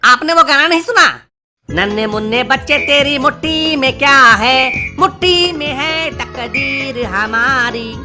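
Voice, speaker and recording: A woman's voice: high-pitched, slow pace, over music. A woman voicing a brash, mocking schoolboy prankster in a comedy cartoon. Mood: brash, smug. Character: cheeky schoolboy prankster who teases his teacher. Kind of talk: Hindi comedy cartoon dialogue.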